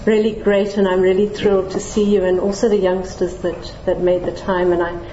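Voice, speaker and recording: Middle-aged woman from Zambia, scientist lecturing to a general audience; mellow, friendly, dry humor, lots of fillers; dull, roomy hall sound. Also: mid-range voice, flat delivery, a little fast, slight background noise. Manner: friendly, with light self-deprecating humor and many fillers. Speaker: scientist giving a public lecture.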